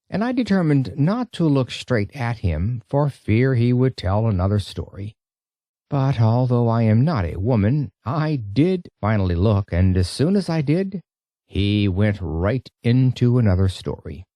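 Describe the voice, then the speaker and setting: A man narrating an audiobook as an expressive first-person storyteller. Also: medium pitch, measured pace, no room sound.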